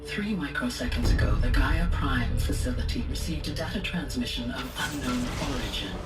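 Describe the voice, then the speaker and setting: A woman playing a calm, solemn, motherly artificial intelligence in a game, speaking formally and precisely. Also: rumbling low. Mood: solemn, measured. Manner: formal, measured, precise diction. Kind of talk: cinematic game dialogue. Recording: echoing, distant voice under loud music. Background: loud cinematic music.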